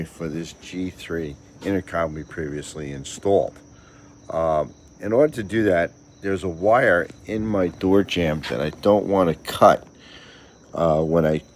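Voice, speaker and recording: Low-voiced man giving a hands-on tutorial. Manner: plain-spoken, chatty, explains step by step. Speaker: installer presenting a how-to video. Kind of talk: casual hands-on tutorial narration. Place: outdoors at a gate. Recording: outdoor noise with insects chirping.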